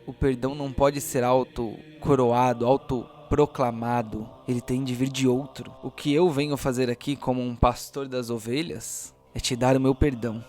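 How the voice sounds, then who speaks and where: A man's voice: medium pitch, fairly even intonation, moderate pace, hardly any pauses, darkish tone, natural voice. A man playing a condescending, zealous cult priest. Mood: solemn, preachy. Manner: condescending, preachy, pleading then menacing. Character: zealous, mocking male cult priest serving angels.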